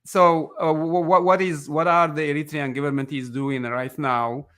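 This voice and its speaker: A man hosting a call-in interview show, with a somewhat high voice. He talks hesitantly, stumbling over words and filling pauses with "uh". He reads out viewer messages and puts questions to his guest. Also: level tone, fairly bright tone. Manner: hesitant, stumbling, many fillers. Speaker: host of an online call-in show.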